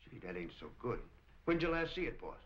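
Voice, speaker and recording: Guy playing slangy 1940s movie henchman, deferential, animated, muffled old film audio. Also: medium pitch, brisk, lightly acted. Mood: wary.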